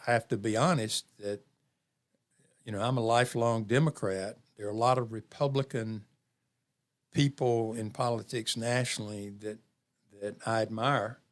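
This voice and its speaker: Low-voiced man in his seventies, measured. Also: steady tone. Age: 70s. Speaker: interview guest, community program leader. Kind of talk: reflective interview conversation.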